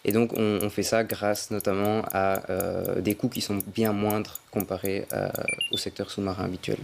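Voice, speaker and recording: A man's voice: on the low side, little pitch movement, moderate pace, vocal fry, darkish tone, slight background noise. A man, a start-up founder briefly presenting his underwater robot project.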